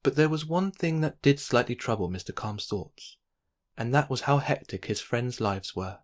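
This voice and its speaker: Male narrator, medium pitch, children's read-aloud, expressive, measured storytelling.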